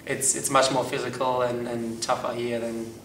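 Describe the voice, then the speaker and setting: A young man gives interview answers in an unhurried, halting way, filling his gaps with ums. His voice sits at an ordinary middle pitch. It is caught from some distance in a room that echoes. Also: little pitch movement, a bit bright, a little hiss or hum. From Germany. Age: young adult. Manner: hesitant, many ums. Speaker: college soccer player. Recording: roomy echo, mic far off.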